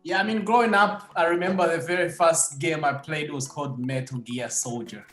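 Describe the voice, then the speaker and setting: A man's voice: a little high, moderate pace, fairly bright tone, faint music behind. Congolese-accented man, echoey room. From Democratic Republic of Congo. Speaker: guest, comic creator. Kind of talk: casual interview.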